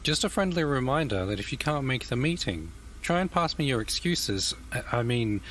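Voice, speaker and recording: Polite kindly old-school gent running a meeting, formal, mid voice, audio drama, faint room noise. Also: male voice, medium pitch, big pitch swings, normal speed, a bit bright. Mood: genial, formal. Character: kind, courteous old-school gentleman chairing a committee.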